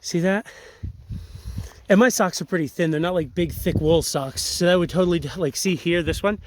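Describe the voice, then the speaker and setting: Slightly raspy man explaining outdoors. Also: high-pitched, normal speed, slight background noise. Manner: relaxed, rambling, filler-heavy explaining. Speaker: tracking instructor. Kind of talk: casual outdoor demonstration. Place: outdoors on sandy riverbank.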